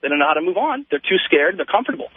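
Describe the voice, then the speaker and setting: A man's voice: high-pitched, sing-song, racing, slight background noise. An American man calling a radio show with breezy, casual advice, acting out his own side of conversations. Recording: thin phone line on radio, dry.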